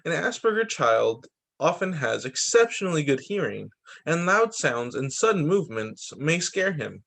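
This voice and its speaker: A man reads aloud as a teacher at children's story time, in a fairly high-pitched voice with very lively, swooping intonation. He delivers the text expressively and slips in brief conversational asides to his young listeners. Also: moderate pace, creaky. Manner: expressive reading with short spoken asides. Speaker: teacher reading picture books to pupils.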